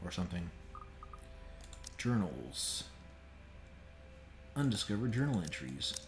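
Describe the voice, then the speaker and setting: A man's voice: low-pitched, big pitch swings, takes it slowly, soft background music. A man giving casual, joking and sometimes profane commentary as a game streamer. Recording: roomy echo with faint background noise.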